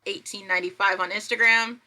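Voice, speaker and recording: A woman chats casually as a podcast guest. Her voice is very bright and sharp, at an average pitch. She sounds informal and a little hesitant. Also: steady tone, fairly quick, poor audio. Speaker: podcast guest, fiction podcast creator. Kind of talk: casual chat.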